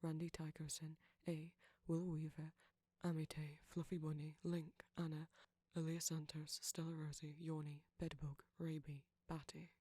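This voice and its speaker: Male audio creator reading an outro, breathy and soft, narrow pitch movement, dry close sound. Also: little pitch movement, unhurried, few pauses, no room sound. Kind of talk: scripted outro, reading supporter names.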